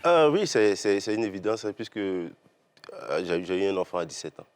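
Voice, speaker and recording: A man's voice: lowish voice, big pitch swings, fairly quick, darkish tone, clean tone. Hesitant young Ivorian man guest. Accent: Ivorian French. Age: young adult.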